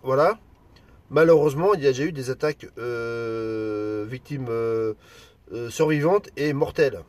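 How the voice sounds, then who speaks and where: A Frenchman chatting casually as an amateur radio operator, in halting, colloquial phrases. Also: medium pitch, a little mellow, dry recording.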